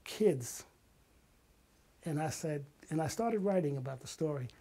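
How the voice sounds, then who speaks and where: A man's voice: on the high side, lively intonation, measured pace, mellow. An older man, an interview guest, telling personal stories in a dramatic, emphatic way and acting out quotes. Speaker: interview guest, retired artist and playwright. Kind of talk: animated personal storytelling.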